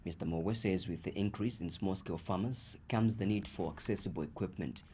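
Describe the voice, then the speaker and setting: Zambian guy reading the news, lowish soft-edged voice, bit of noise behind. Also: brisk. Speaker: news reader.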